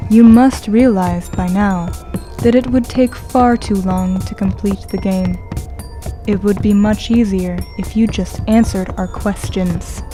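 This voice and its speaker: A woman plays an insinuating female game guide in an audio drama. She narrates slowly and calmly in a medium pitch, coaxing the listener, with music underneath. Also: lightly acted. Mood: insistent. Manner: measured, coaxing. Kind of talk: scripted second-person narration in audio drama.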